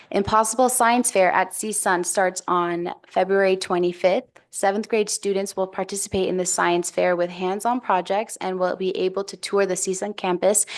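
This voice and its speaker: A woman reading a prepared report of school events and sports news at a school board meeting. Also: mid-range voice.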